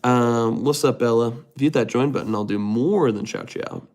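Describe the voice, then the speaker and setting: A man's voice: medium pitch, moderate pace, darkish tone. A man hosting a casual livestream, reading viewer comments aloud and answering them in a rambling way with many restarts. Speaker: livestream host reading chat.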